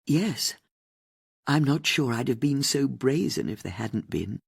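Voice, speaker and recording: An older, upper-class English lady as acted out by an audiobook narrator, haughty and easily indignant. Her delivery is very lively and swoops up and down. She gives out brazen opinions with open disdain. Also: medium pitch, unhurried, vocal fry, slightly rough, exaggerated voice. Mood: defensive, candid. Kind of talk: audiobook character dialogue.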